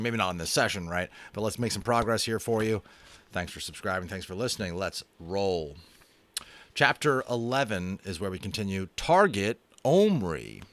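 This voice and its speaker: Animated American man reading aloud. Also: on the low side, no echo. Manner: animated, chatty, self-amused. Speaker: audiobook reader and host. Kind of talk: dramatic audiobook narration with chatty asides. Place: home room.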